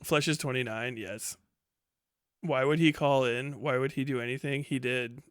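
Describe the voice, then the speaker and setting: A man's voice: medium pitch, fairly even intonation, normal speed, clean tone, dry recording, studio quality. A man in his thirties doing casual livestream commentary and reading chat in a blunt, sweary, incredulous way. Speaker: live streamer.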